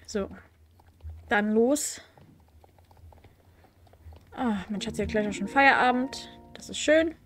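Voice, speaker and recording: A young woman talks her way through a game at home, casual and a little wry. A cold leaves her sounding slightly stuffy, though her voice stays on the higher side, and she often stops to correct herself. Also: takes it slowly, long pauses. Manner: chatty, wry, with frequent fillers and self-corrections. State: has a cold. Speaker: let's play host. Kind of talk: casual gameplay commentary, reading quest text aloud.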